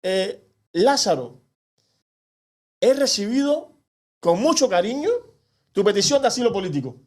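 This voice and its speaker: A man answers a TV interviewer with indignant energy. His voice sits a little high, and he speaks in rapid, passionate bursts. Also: sing-song, darkish tone. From Cuba. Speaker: activist guest. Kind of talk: animated TV interview.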